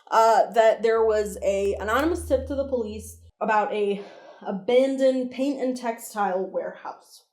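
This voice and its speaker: A woman's voice: fairly high pitch, a little mellow, roomy sound. A woman hosting a video review, talking in a conversational, opinionated and emphatic way with casual swearing. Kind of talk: casual commentary, breakdown analysis.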